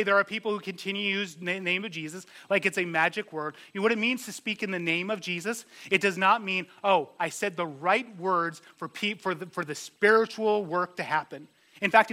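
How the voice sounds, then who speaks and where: A middle-aged man, a pastor, preaching an expository sermon in an earnest, conversational way, slowing down to stress key phrases. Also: high-pitched, sharp tone.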